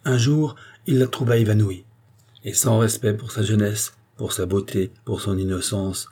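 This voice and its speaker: Audiobook role: grave, humble doctor, done by a male reader, sorrowful and measured, mellow, slightly husky. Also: fairly low pitch, fairly even intonation, natural voice. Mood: grave confession. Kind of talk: dramatic audiobook character acting.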